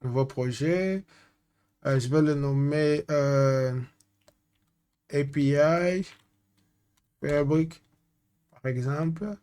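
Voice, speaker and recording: A man's voice: fairly high pitch, extremely slow, long pauses, warm tone, clean tone. Hesitant Angolan man teaching tech. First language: Portuguese. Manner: hesitant, searches for French words, many fillers. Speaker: data consultant. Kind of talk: screen-share tech tutorial.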